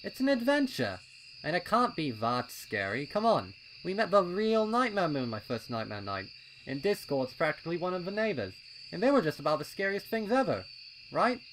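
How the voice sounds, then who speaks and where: A man voicing an eager, adventurous young colt pony in an excitable, insistent way for a story reading. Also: a little high, normal speed, a little hiss or hum, pitched above the performer's own voice, low-quality recording. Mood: excited, bold.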